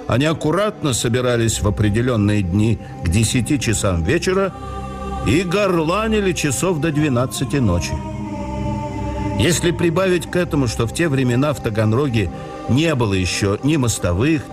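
A man narrating a memoir in a measured storytelling manner for a radio production. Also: mid-range voice, music in the background. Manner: measured storytelling with lively intonation.

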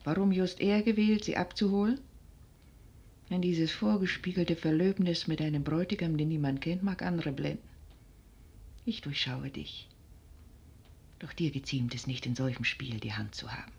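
An actress playing a shrewd, teasing, controlling noblewoman with a husky, breathy voice in a 1950s radio drama. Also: low-pitched, steady tone, moderate pace, long pauses, a little mellow, lo-fi sound, exaggerated voice. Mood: sly resolve. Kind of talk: 1950s German radio play acting.

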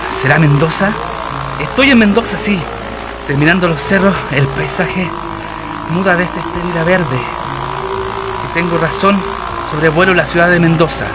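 An actor playing a brave, excitable Chilean pioneer pilot who talks to himself theatrically in a radio drama. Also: male voice, fairly high pitch, moderate pace, long pauses, close to an everyday voice. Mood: searching, hopeful. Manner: theatrical, exclamatory, talking to himself. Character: Chilean pioneer aviator, brave, excited, anxious but determined. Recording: loud engine-like noise behind the voice.

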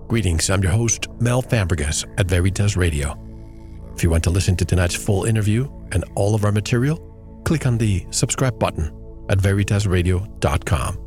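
Male radio host, lower voice, measured and earnest, scripted intros into easy interview questions. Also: darkish tone, faint music behind.

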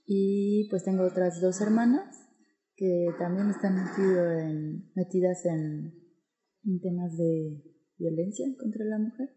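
A woman's voice: fairly low pitch, a little slow, dark tone. A woman with a central Mexican accent answering interview questions conversationally, hesitant and searching for words, in a reverberant room. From State of Mexico, Mexico. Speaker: interview guest, architect. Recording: very echoey, reverberant room sound.

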